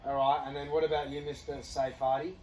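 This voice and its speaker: A man's voice: on the high side, level tone, fast, a little mellow. Host, male, brief easygoing questions, roomy and off-mic. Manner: short prompting questions, easygoing. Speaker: podcast host interviewing players. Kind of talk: casual interview chat. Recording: echoey room, distant mic, background noise.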